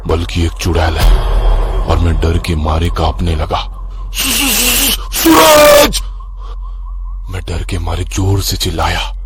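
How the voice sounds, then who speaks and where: A man's voice: low voice, very noisy. A man telling a horror story as a scripted, dramatic first-person narration.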